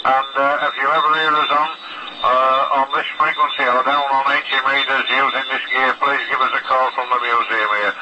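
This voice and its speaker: A male ham radio operator with a mid-pitched voice comes through heavy shortwave noise. He talks in a halting, conversational way, using radio procedure and phonetic spelling as he goes. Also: level tone, dull audio. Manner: hesitant, spells names in phonetic alphabet. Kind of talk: ham radio contact chat. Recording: very noisy shortwave radio reception.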